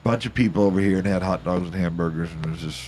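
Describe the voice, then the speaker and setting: Male podcast co-host, deep voice, nasal from a cold, rambling chat, faint background hiss. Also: a little mellow. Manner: rambling, halting restarts. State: stuffed up from a cold, slightly nasal. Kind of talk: casual chat.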